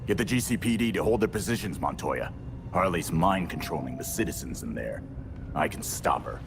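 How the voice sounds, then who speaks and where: A man playing a gruff, determined vigilante in a video game, wry and commanding. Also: deep voice, lively intonation, a little fast, background noise. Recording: loud music behind the voice.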